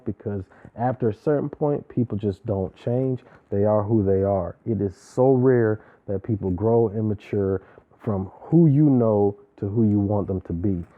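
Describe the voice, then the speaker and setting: A man giving a casual, opinionated recap of a reality show, talking in an emphatic, slangy way with preachy asides. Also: lowish voice. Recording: tinny phone-like sound.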